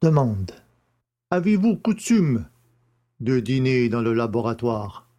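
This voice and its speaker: A man's voice: medium pitch, big pitch swings, warm tone, highs cut off, voice pushed down. A man voicing a courteous, methodical examining magistrate who formally questions witnesses in an audiobook drama. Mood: methodical, probing. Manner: formal, deliberate, announces each question. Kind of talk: audiobook character acting.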